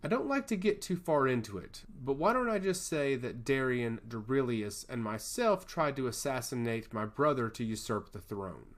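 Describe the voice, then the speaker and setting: A man voicing a charming god of chaos in a fantasy story, speaking with calm, theatrical confidence. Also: mid-range voice, normal speed, few pauses, close to an everyday voice. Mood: guarded, candid.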